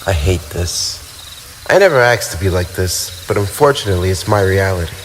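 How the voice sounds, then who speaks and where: Lonely ancient vampire, played by a male actor: very low voice, brooding and wistful, formal diction, ASMR roleplay over clear night insects and owls. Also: lively intonation, brisk, a little hiss or hum, poor audio. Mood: melancholy, bitter. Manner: wistful, formal, theatrical phrasing with sighs. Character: lonely, brooding tsundere male vampire, centuries old, wounded pride. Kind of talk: ASMR roleplay monologue addressed to the listener. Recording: night ambience with crickets and owls.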